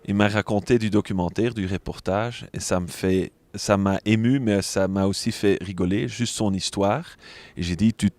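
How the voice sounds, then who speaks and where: Low-voiced man, close dry sound. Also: normal speed, a little mellow, no echo. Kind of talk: interview, recounting.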